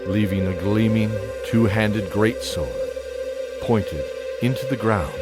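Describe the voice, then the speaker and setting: A man narrating a scripted fantasy audio drama with dramatic, measured storytelling. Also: fairly low pitch, over loud music.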